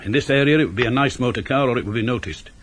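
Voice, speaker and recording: Actor playing a dry, blunt British police inspector. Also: male voice, medium pitch, racing, close to an everyday voice. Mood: dry, sardonic. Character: seasoned, cynical male police inspector, brusque and shrewd. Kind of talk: 1980s British radio drama acting.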